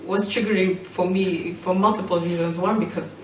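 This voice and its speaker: Low-voiced Kenyan woman in an echoey room. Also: steady tone, moderate pace. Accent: Kenyan with British-school influence. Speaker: performer. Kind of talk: reflective personal talk in a group. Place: indoor room at an in-person group meeting. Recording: echoey room, distant mic, faint noise.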